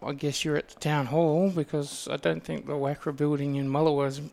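An Australian man hosting a casual radio interview in a rambling, halting way. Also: a little high, level tone, gravelly, a little mellow. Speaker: community radio host. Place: radio studio.

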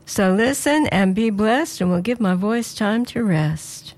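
Slightly hoarse woman presenter. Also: medium pitch, expressive, a little slow, a little mellow. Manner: earnest, prayerful, conversational. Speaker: radio presenter.

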